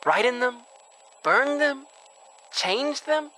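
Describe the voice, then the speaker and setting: An actor in a horror audio drama playing a sad, frightened young ghost girl who talks haltingly and repeats things like a child. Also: high voice, expressive, bright, forceful tone, a little hiss or hum, exaggerated voice, poor audio. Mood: hopeful, earnest. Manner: halting, repetitive, childlike speech.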